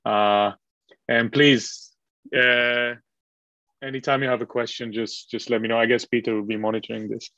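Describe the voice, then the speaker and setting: Man from Colombia, online lecturer, steady flat tone, lots of fillers, dull dry call mic. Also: mid-range voice, no room sound. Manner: relaxed, with frequent fillers and restarts. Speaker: guest lecturer. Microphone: video call microphone.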